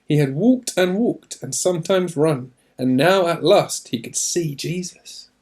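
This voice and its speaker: A man reading a children's storybook aloud in an expressive narrating style, with deliberate emphasis. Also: on the high side.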